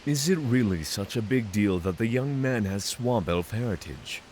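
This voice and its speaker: A man's voice: on the low side, expressive, moderate pace, darkish tone, natural voice. A man playing a courteous, candid dragon in a fantasy audio drama, speaking in formal phrases. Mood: calm, candid. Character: giant male dragon in mortal company, courteous, candid. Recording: clear background hiss.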